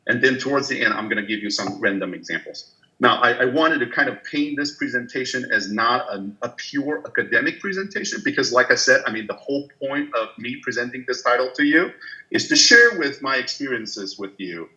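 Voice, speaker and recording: A man's voice: medium pitch, lively intonation, fairly quick, bright, forceful tone, low-quality recording. A business professor from Taiwan, in his forties, giving an online guest lecture with frequent fillers and restarts. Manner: hesitant, many fillers and restarts.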